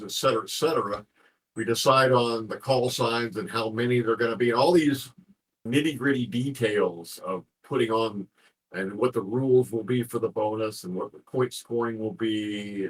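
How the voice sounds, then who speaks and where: A man with a husky voice talking informally as an interview guest, explaining things in a rambling way and repeating phrases as he goes. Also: medium pitch, a bit bright, low-quality recording. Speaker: interview guest, ham radio contest organizer.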